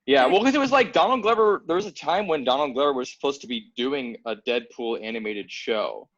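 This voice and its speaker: A man's voice: medium pitch, animated, fairly quick, fairly bright tone, no echo. Casual man on slightly dull call audio. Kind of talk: casual chat.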